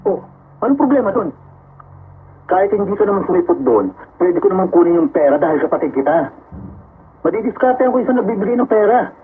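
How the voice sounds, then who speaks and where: A brash, greedy young village official in a radio drama, with a fairly high voice that swings widely in pitch. The sound is thin like a phone line, with a faint hiss. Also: male voice, lively intonation, fast talker, long pauses.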